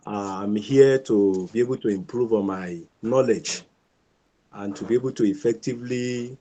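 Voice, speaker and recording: A man's voice: mid-range voice, noisy background. A man from Nigeria who owns a school, introducing himself deferentially to his teacher. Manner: deferential, says ma'am often. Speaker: school owner. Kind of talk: self-introduction.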